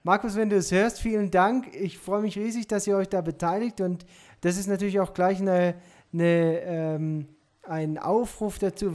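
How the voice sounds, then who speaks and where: Enthusiastic podcast host interviewing a guest. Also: male voice, sits high. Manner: warm, enthusiastic greetings, measured questions.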